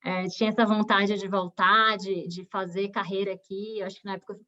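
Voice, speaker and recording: A woman's voice: mid-range voice, flat delivery, a little fast, pushed and bright. Brief: woman, 20s, bahian accent, talkative guest, muffled video-call sound. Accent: Bahian Brazilian Portuguese. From Bahia, Brazil. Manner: chatty, many fillers and restarts. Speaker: guest, recruiter. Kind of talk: webinar interview. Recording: dull lo-fi video call audio.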